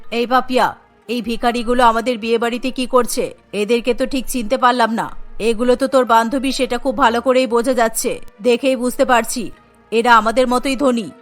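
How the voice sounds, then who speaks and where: Woman putting on a stuck-up rich cartoon mom, sneering and condescending, voice pitched lower. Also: fairly even intonation, brisk, faint music behind, close to an everyday voice. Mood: scornful. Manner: contemptuous, condescending. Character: haughty rich middle-aged mother, scornful snob.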